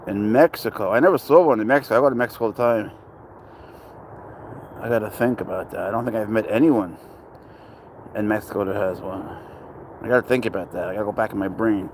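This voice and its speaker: A man's voice: lowish voice, fast, long pauses, darkish tone, slight background noise. A man who grew up in Spain, hosting a casual livestream, rambling as he reads out and answers viewers' comments. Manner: chatty, rambling, answers chat questions aloud. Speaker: livestream host.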